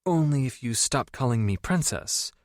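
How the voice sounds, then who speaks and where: A woman's voice: extremely low pitch, expressive, normal speed, a little mellow, no room sound, studio quality, pitched above the performer's own voice. A man voicing a reserved young woman who speaks tightly and keeps her composure in an audiobook. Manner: tight, composed, a little exasperated. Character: guarded, reserved young woman, easily embarrassed. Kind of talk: audiobook character voice.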